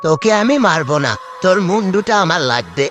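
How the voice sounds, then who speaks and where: A man voicing a menacing, vengeful ghost in a horror cartoon, with drawn-out, threatening delivery. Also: fairly high pitch, sing-song. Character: menacing vengeful ghost haunting a school, out to kill. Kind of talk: amateur animated horror cartoon dub. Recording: loud music behind voice.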